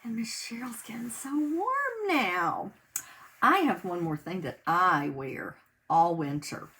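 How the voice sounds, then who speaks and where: A woman reads a picture book to small children in the manner of a preschool teacher. Her mid-pitched voice rises and falls in a lively sing-song. She takes her time, explaining things simply and putting questions to the children. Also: slow. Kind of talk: read-aloud storytime for young children. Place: indoors at home.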